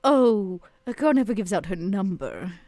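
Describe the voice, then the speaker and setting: A woman's voice: mid-range voice, animated, moderate pace, a little mellow, dry recording, natural voice. Vera, a coy, teasing vampire woman played by a woman. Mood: flirtatious, teasing. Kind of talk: in-character tabletop roleplay.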